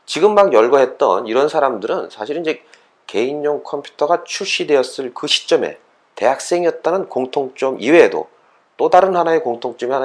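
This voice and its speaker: A man giving an explanatory, lecture-style talk as a host, conversational and fond of posing rhetorical questions. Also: mid-range voice. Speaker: host of an educational talk series for parents and children.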